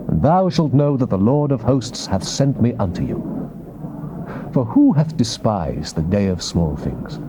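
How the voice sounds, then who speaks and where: A man with a British accent reading scripture as a solemn, theatrical dramatized narration. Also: medium pitch. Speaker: Bible narrator. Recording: noisy old cassette tape recording.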